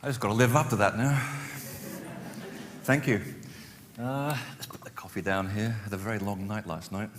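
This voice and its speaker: A man's voice: on the low side, steady tone, moderate pace, long pauses, background noise. A Portugal-born man giving a conference talk to a live audience, chatty and self-deprecating, with many fillers and restarts. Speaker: conference speaker. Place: conference hall. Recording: long hall reverb.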